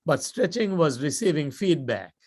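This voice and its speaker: An older man from Sri Lanka with a Sri Lankan accent, giving a motivational club speech in a measured, deliberate storytelling style with dramatic pauses. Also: on the high side, very animated, husky. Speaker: guest speaker.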